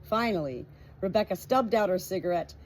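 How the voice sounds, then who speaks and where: A woman reads a storybook aloud in a husky voice at a middle pitch. She follows a written text as an audiobook narrator. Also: expressive, brisk, darkish tone. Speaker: audiobook reader.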